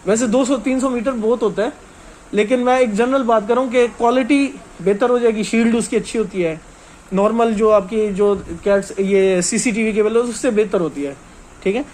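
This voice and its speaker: A man's voice: high-pitched, normal speed, darkish tone, slight background noise, low-quality recording. A man giving an informal hands-on technical lesson as a trainer, explaining step by step and often checking that listeners follow.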